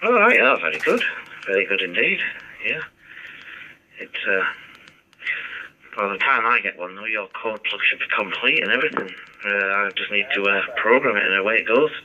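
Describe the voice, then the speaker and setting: A man speaks over a two-way radio with a very sharp, bright edge to a fairly low voice. He chats in an easygoing, agreeable way, with faint noise around the signal. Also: big pitch swings, normal speed, long pauses, dull audio. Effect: two-way digital radio. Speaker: amateur radio operator on the other end. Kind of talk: casual two-way radio chat.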